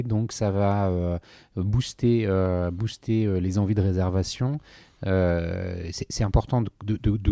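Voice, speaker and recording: A man's voice: on the low side, warm tone, no echo, clean studio sound. A man hosting a business podcast, asking questions and stumbling over repeated words as he works through his points. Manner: hesitant, repeats words, probing. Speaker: podcast host, interviewer. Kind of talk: interview questions.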